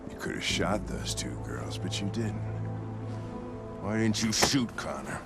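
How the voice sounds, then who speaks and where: Brief: gruff boozing lieutenant, very low, gravelly and slow. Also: male voice, animated. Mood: brooding. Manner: gruff, blunt, sardonic. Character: gruff, weary, hard-drinking male police lieutenant. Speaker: police lieutenant. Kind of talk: video game cutscene acting. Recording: game score music behind. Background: music.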